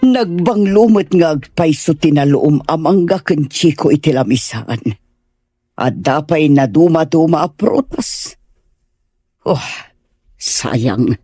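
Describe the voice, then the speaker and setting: A woman's voice: low-pitched, lively intonation, slow pace, dark tone, breathy edge, no echo, studio quality, faint music behind, heavily acted. A woman with a Filipino Ilocano accent acting a proud, disapproving middle-aged mother in an audio drama, haughty and complaining. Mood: scheming disapproval. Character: disapproving, proud well-to-do mother opposing a wedding. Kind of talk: radio drama acting in dialogue.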